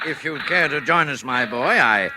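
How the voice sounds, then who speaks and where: A man's voice: medium pitch, animated, moderate pace, pushed and bright. An actor playing a genial, sly older man with a courtly, coaxing way of talking, in 1940s radio suspense style. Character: genial, sly old man, fatherly smooth talker. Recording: noisy old radio recording.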